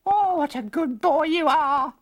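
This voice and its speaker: A storyteller voicing an excitable farm mother, shrill and shrieking as she scolds her son. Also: a little slow. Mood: giddy.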